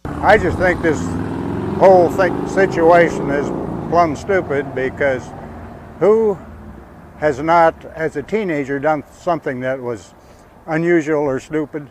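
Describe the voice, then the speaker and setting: A plainspoken man giving his opinion haltingly in an outdoor news interview. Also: on the high side, noisy background. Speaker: member of the public interviewed.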